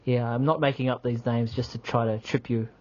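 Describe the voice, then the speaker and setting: A man's voice: mid-range voice, steady tone, fairly quick, darkish tone. A man co-hosting a podcast in casual, teasing chat. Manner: friendly, teasing, uses fillers. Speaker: podcast co-host. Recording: slightly muffled podcast audio.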